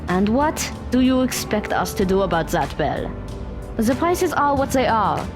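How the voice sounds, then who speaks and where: A woman's voice: a little high, sing-song, normal speed, music in the background. A woman playing a practical, blunt young woman in an audio drama, speaking matter-of-factly.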